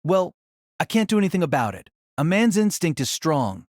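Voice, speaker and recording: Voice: mid-range voice, big pitch swings, normal speed, no echo, clean studio sound. A narrator voicing a modest teenage boy swordsman who speaks hesitantly and runs himself down. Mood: earnest.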